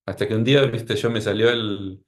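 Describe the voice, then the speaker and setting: Rioplatense guy, low-ish voice, wry storytelling psychologist on a podcast. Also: steady tone, moderate pace. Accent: Rioplatense Spanish. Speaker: clinical psychologist guest. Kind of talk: podcast interview.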